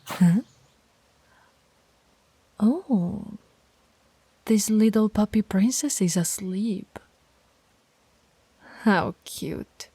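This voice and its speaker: A woman's voice: mid-range voice, very slow, long pauses, mellow, husky, no room sound. A woman voicing a stern, teasing alpha werewolf pack leader in an audio roleplay, authoritative and taunting. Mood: teasing, predatory.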